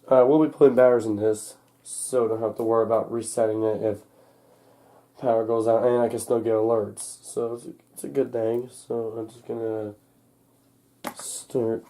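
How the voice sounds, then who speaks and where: A young man recovering from a cold, narrating a casual unboxing in a halting, unscripted way, thinking aloud as he goes. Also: mid-range voice, little pitch movement, dark tone. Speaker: hobbyist vlogger. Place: indoors at home.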